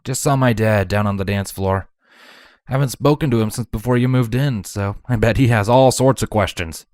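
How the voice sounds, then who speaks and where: A man voicing a gentle, casual male pony who reassures his companion in a storybook reading. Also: lowish voice, moderate pace, no room sound, clean studio sound, lightly acted. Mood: casual, reassuring.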